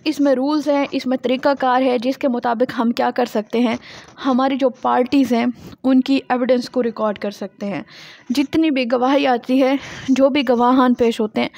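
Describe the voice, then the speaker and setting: Pakistani lady lecturer, high voice, really dry sound, teachy, asks questions then answers them herself. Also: gravelly, darkish tone, no room sound. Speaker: law lecturer. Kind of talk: lecture, reading out passages and explaining them.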